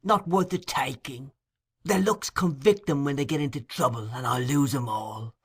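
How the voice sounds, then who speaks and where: A man voicing a crafty old gang master in an audiobook, with a shrill, eager, wheedling way of talking. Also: normal speed, slightly rough. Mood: wheedling, persuasive. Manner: eager, insinuating, fawning.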